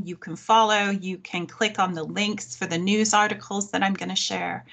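A middle-aged woman from the North of England, her accent softened by years in the US, giving a friendly, clear, lightly humorous online presentation as a history professor from her living room over a webcam. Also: medium pitch. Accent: mild Northern English, softened by thirty years in the US. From Sheffield, North of England. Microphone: webcam on a video call.

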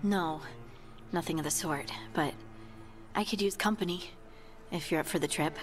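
Low-pitched gentle woman adventurer as acted. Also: normal speed, faint music behind, natural voice. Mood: calm.

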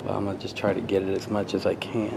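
Guy w a low voice talking real slow, casual awed tour video, noisy background. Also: completely flat delivery. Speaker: amateur videographer and music fan. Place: inside a church beside the organ. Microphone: handheld camera, operator behind it. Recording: clear background noise, handheld camera audio.

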